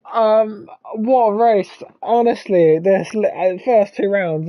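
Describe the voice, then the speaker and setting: A man who commentates races, his voice hoarse and strained from shouting, rambling and stammering through a casual wrap-up. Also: high voice, no echo. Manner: stammering, rambling, restarts often; swears, laughs at himself. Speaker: race commentator. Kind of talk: casual post-race wrap-up. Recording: dull lo-fi mic.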